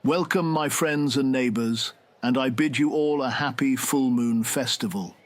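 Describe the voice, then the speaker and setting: Male actor as a benevolent council elder, mid-pitched, formal, oratorical, ceremonial fantasy audio drama speech. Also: unhurried, lightly acted. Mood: solemn, welcoming.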